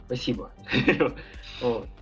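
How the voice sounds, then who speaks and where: A man's voice: medium pitch, drawn-out words, fairly bright tone, highs cut off, faint music behind. A man talking as a podcast guest, thinking aloud about his tattoo and painting work, rambling with many fillers and hesitations. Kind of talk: casual podcast conversation.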